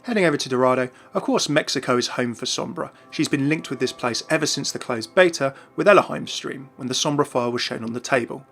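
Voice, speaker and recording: A man's voice: mid-range voice, quick pace, hardly any pauses, fairly bright tone, soft background music. A man narrating scripted commentary for an online video.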